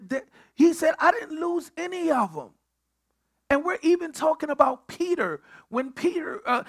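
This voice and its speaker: A male pastor preaching at a midweek Bible study, building on repeated phrases and often stumbling over restarts. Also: extremely high pitch.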